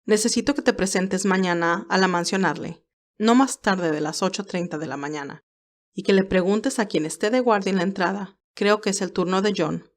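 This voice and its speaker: A woman's voice: mid-range voice, normal speed, close to an everyday voice. A woman voicing a composed male senior aide who gives orders formally and courteously in an audio story. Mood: businesslike.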